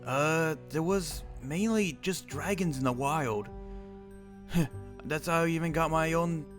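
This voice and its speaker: A man's voice: on the high side, takes it slowly, soft background music. A young man roleplaying an old immortal wizard, rambling and restarting his sentences. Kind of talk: in-character roleplay chat.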